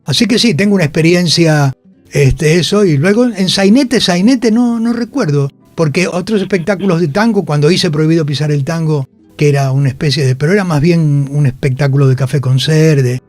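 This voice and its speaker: A man with a Buenos Aires accent, an actor being interviewed, recalling his work in a halting, digressive way. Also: on the high side, darkish tone, soft background music. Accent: Argentine, Buenos Aires. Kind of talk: casual interview chat. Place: radio studio.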